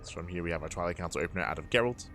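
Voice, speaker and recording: A man's voice: low-pitched, lively intonation, rapid-fire, a bit bright. A man doing live esports commentary in an analytical, explanatory way, often repeating phrases for emphasis. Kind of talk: live StarCraft match commentary. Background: background music.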